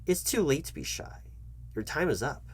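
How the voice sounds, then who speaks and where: A man playing a foolish, patronizing job interviewer in an ASMR comedy roleplay, speaking to the listener in a halting, repetitive, mock-earnest way. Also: on the high side, sing-song, husky. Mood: impatient, demanding. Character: foolish, condescending male job interviewer toying with the candidate.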